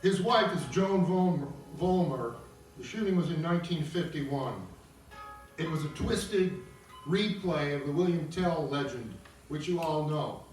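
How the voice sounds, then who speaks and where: A man's voice: a little high, unhurried, a little mellow. Man narrating in a very echoey room. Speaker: narrator at an opera table read. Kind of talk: reading stage directions and explaining to audience. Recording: very echoey room, distant mic, music.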